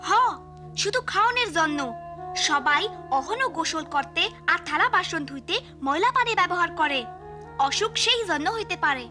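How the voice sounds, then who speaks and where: A woman's voice: extremely high pitch, animated, moderate pace, over music. A woman voicing an earnest, determined village girl in an educational cartoon, emphatic and instructive, with a Bangladeshi accent.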